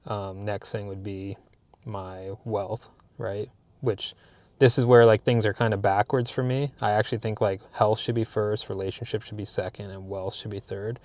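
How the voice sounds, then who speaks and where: A man's voice: on the low side, flat delivery, moderate pace, dry recording. A man in his thirties from Canada, chatting candidly in a vlog recorded in his parked car, rambling with plenty of fillers and restarts. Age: 30s. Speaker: vlogger. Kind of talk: casual talking-head vlog.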